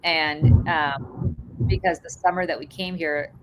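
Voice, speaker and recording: A woman's voice: sits low, steady tone, unhurried, a little hiss or hum, low-quality recording. A woman in her forties, originally from Russia, chatting casually as a podcast host and laughing through her stories. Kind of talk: casual chat.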